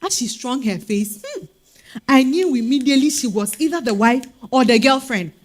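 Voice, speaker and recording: A woman's voice: high voice, normal speed, slight background noise. A woman with a Ghanaian accent playing a spirited single woman telling her dating story in a comic stage reading. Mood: storytelling. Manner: expressive, exasperated storytelling. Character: Araba, spirited Ghanaian single woman hunting foreign men.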